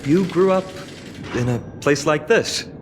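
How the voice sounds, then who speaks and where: A man's voice: a little high, measured pace, over music, natural voice. A man playing an easygoing, curious and sympathetic young adventurer in a video game. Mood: surprised, thoughtful.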